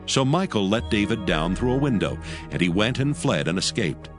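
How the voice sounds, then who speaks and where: A male narrator reads scripture with solemn, measured weight. His voice is low yet moves expressively in pitch, with music clearly playing underneath. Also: sing-song.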